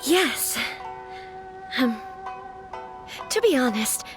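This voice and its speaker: A woman's voice: sits high, big pitch swings, very slow, heavily acted. An actress playing a polite, formal noblewoman who voices her worries in a video game. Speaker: clan head. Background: game music.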